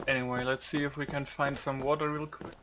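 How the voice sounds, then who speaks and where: A man's voice: medium pitch, flat delivery, brisk, background noise. A man giving casual, unscripted gameplay commentary, thinking aloud in an informal way with some mild swearing. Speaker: gaming video creator.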